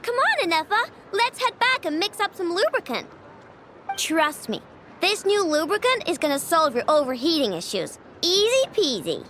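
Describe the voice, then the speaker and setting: A woman's voice: extremely high pitch, big pitch swings, normal speed, noisy background. A woman voicing a spirited, stubborn young girl inventor in a video game, with a breezy, boastful, coaxing manner. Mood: confident, breezy. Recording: background music behind the voice.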